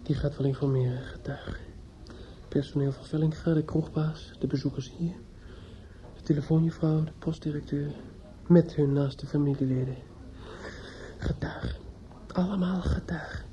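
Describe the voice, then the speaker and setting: A man's voice: fairly high pitch, steady tone, normal speed, warm tone, slight background noise, natural voice. An actor playing a distraught, desperate young man in a radio play, hesitant and stammering as he speaks. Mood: panicked muttering. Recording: muffled old radio play recording.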